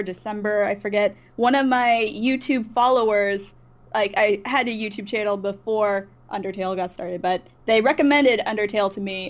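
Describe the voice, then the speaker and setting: A woman with a medium-pitched voice chats as an interview guest. She shares anecdotes informally, hesitating and rewording as she goes. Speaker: interview guest, YouTube voice artist. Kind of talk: casual chat, personal anecdote.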